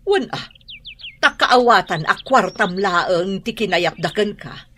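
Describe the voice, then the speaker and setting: A woman's voice: medium pitch, expressive, takes it slowly, sharp tone, full character voice. An actress playing a fretful, weary middle-aged mother in a radio drama. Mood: reproachful. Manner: worried, fretful. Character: worried, weary middle-aged mother fretting over money.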